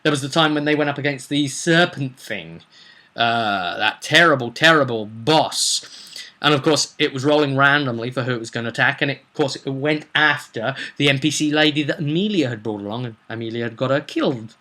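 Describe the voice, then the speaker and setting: A man's voice: mid-range voice, expressive, a little fast, bright, forceful tone. A man chatting casually as a game master, recounting a tabletop roleplay session in a rambling, storytelling way. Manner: chatty, slips into acted quotes of characters.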